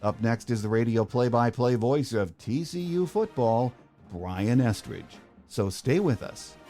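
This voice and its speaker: A man's voice: mid-range voice, unhurried, music in the background. An American man hosting a sports podcast, moving between scripted introduction and interview talk. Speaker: podcast host.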